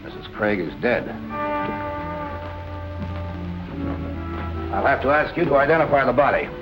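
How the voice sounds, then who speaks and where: An actor playing a dry, businesslike police captain questioning suspects in 1940s film noir style. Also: male voice, mid-range voice, very animated, fast talker, muffled recording, over music, close to an everyday voice. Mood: stern. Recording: noisy old film soundtrack.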